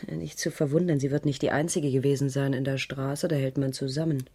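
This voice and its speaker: An actress playing a troubled, evasive schoolteacher in a radio crime drama, hesitant and subdued, with a breathy voice that drops to near whispers. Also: female voice, a bass voice, fairly even intonation, brisk, dark tone, heavily acted. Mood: weary, explanatory. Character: troubled, evasive female schoolteacher under police questioning. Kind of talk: 1980s German radio crime drama acting.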